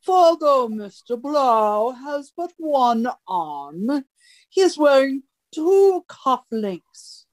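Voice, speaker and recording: A woman playing a pompous great detective in an over-the-top radio mystery parody, showing off deductions in a deliberate, grand manner. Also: high-pitched, sing-song, heavily acted. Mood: smug, pompous.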